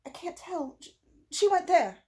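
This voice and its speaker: A woman reading a gothic storybook, playing a frightened young gentlewoman who stammers, flustered. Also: extremely high pitch, big pitch swings, a little slow, voice pushed up, heavily acted. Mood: panicked, flustered.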